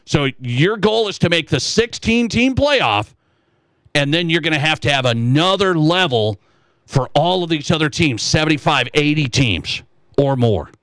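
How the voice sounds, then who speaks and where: A man's voice: on the high side, lively intonation, unhurried, a bit bright, dry recording. A man hosting a sports talk radio show, giving emphatic, opinionated commentary in a conversational way and repeating his points. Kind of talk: conversational sports commentary, reading listener texts.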